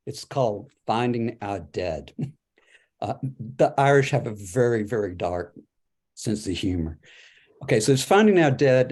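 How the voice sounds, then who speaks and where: A man at a poetry reading, acting as the presenting poet. He has a medium-pitched voice and moves between conversational asides and read verse. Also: darkish tone. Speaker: poet presenting his book. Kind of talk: poetry reading with informal introductions.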